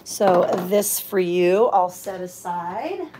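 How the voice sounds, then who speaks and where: A woman's voice: lowish voice, expressive, takes it slowly, noisy background. A woman hosting a live auction in casual, chatty talk, thinking aloud as she handles items. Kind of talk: casual livestream sales chat.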